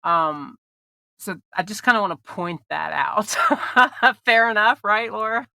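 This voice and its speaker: Bright-voiced congested woman podcaster. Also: medium pitch, expressive, slow, creaky, no echo, studio quality. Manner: chatty, laughs often, frequent ums and restarts. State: congested from allergies. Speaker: podcast host. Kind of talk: casual podcast chat.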